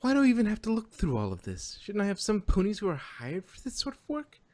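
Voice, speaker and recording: Frazzled young pony princess, played by a man, raised pitch, swooping and exasperated, for a cartoon-style audio drama. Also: wildly swooping pitch, fast, a little mellow. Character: Twilight, stressed, irritable young pony princess, female.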